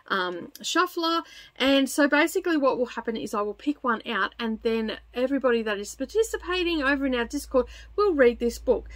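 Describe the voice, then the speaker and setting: A middle-aged woman with a fairly high voice presents a book vlog in a casual, chatty way. The sound is dry and close, with little room echo. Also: a bit bright. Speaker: book channel host.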